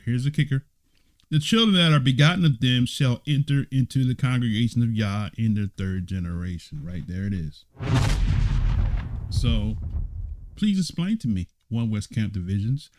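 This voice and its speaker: A man at an ordinary middle pitch, leading a casual Bible study as an elder. He talks in a chatty, conversational way, reading verses aloud and then unpacking them. He keeps checking in with his listeners by asking "right?" and "okay?". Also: darkish tone. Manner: reads scripture aloud and explains it. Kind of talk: informal Bible study sermon. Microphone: streamed online talk.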